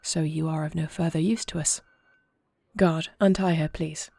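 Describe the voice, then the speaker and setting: A woman playing an earnest young princess and war leader in an audio roleplay, speaking formally in solemn, measured phrases. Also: low voice, steady tone, gravelly, a little mellow, no room sound. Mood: calm, resigned. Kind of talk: scripted audio roleplay acting.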